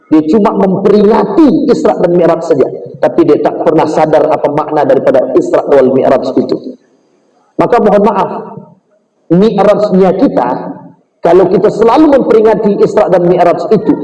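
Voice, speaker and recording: A man's voice: a little high, warm tone, dull audio. A man preaching an Islamic sermon, emphatic and often putting questions directly to his listeners. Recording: roomy echo, distant from mic.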